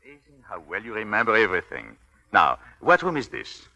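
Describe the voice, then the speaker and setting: A man's voice: on the low side, huge pitch swings, brisk, pushed and bright, full character voice. A man playing a suave, controlling husband, coaxing and outwardly tender, in 1940s radio drama style. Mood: polite, brisk.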